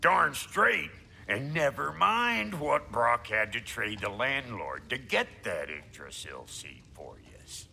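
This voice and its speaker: A man playing a gruff, foul-mouthed, cocky craftsman in a fantasy video game, blunt and slangy. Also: mid-range voice, sing-song, slow, sharp tone, exaggerated voice. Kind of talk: video game character acting.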